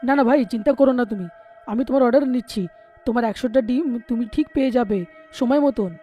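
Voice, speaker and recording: A man voicing a greedy, scheming young poultry seller with a wheedling manner in an animated horror folk tale. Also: very high-pitched, quick pace, muffled recording, soft background music. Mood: wheedling, sly.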